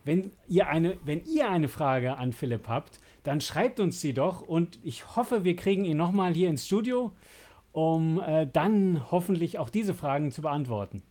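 A man's voice: fairly high pitch, lively intonation, unhurried, dark tone. A man, talking casually as a podcast co-host. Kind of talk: casual chat.

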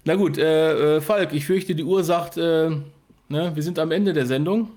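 Higher-pitched man hosting casually. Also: moderate pace, a little mellow. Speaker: podcast co-host, interviewer. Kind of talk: casual chat.

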